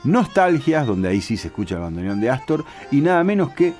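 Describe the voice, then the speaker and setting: A man's voice: mid-range voice, sing-song, brisk, a little mellow. A man with an Argentine Spanish accent hosts a music programme, explaining things and sometimes searching for his words. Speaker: radio host and music programmer.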